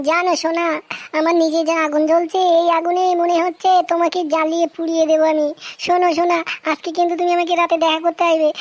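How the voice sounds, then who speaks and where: A woman voicing a bored, fed-up young wife in a comic cartoon, scolding in a fast, crude way. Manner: shrill, fast, scolding and foul-mouthed. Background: loud background music.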